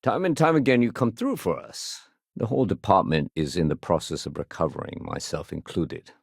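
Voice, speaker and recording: A man's voice: deep voice, brisk, gravelly, slightly rough, no echo, studio quality, heavily acted. A man voicing a dutiful, weary security sergeant in a sci-fi video game, speaking in a clipped, businesslike but conversational way. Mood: appreciative, sincere. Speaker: security officer. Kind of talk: video game dialogue acting.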